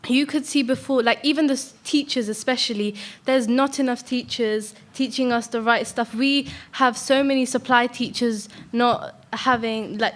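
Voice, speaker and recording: High-voiced schoolgirl. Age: teens, about 15. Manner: polite but direct, colloquial. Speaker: student audience member. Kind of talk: spontaneous question in a public debate.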